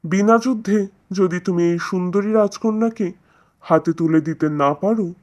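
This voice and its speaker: A bold young storybook prince played by a woman. The voice is pushed down in pitch, with a haughty, commanding manner that is boastful and demanding. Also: moderate pace, a little mellow, lightly acted. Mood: boastful, challenging.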